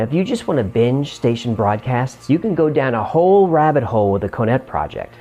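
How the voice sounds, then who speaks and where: A man's voice: mid-range voice, a little mellow. A man hosting a show and narrating in a scripted explainer style.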